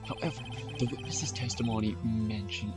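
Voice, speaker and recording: A man's voice: on the low side, moderate pace, natural voice. A man voicing an earnest young male defense lawyer, arguing emphatically. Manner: animated, emphatic argument. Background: game music.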